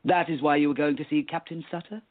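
An actor playing a smug, condescending time-travelling villain in a radio play, with a theatrical sneer. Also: male voice, fairly high pitch, fairly even intonation, fast talker, close to an everyday voice. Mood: smug, mocking. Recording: tinny phone-like line.

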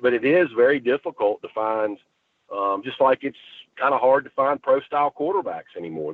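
A man with a husky voice giving football analysis on sports talk, explaining in a halting, folksy way. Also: fairly low pitch. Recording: tinny phone line, roomy echo.

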